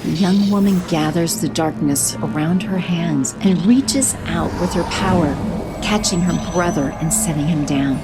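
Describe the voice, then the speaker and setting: A woman narrating an action story in a brisk, vivid way. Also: lowish voice. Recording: voice over music score.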